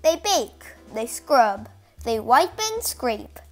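Little kid reading a picture book out loud, slow and really animated, music behind. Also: medium pitch. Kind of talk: expressive storybook read-aloud.

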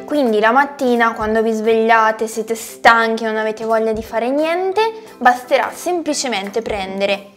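A young woman walks through a recipe in her home kitchen, explaining each step in a chatty way and pausing on small fillers. Her voice sits a little high, with light music playing faintly behind her. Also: fairly bright tone. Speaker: cooking channel host. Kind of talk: recipe tutorial, talking to camera.

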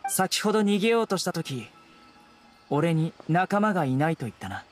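Stern, composed young enforcer. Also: male voice, on the high side, very animated, normal speed, faint music behind. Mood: stern, cool. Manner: composed, blunt, commanding. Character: stern young male law enforcer investigating smugglers. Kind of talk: Japanese video game voice acting.

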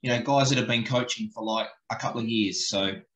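A man's voice: mid-range voice, brisk, a bit bright. Male host on a headset video call, roomy echo, casual and matey. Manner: friendly, informal, says mate, hesitant fillers. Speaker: podcast host. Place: home office indoors.

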